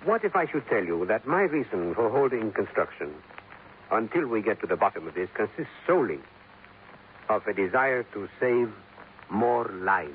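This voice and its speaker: An actor playing a calm, stubborn police captain with a Spanish accent, speaking formally and deliberately in a 1950s radio drama. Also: male voice, fairly low pitch, narrow, tinny audio. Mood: grave, resigned. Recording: noisy, thin 1950s radio recording.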